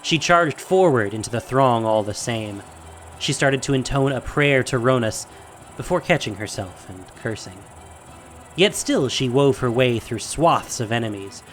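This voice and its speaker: A man's voice: medium pitch, fairly bright tone. Male fantasy narrator over prominent music. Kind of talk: scripted dramatic fantasy narration. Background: music and sound effects.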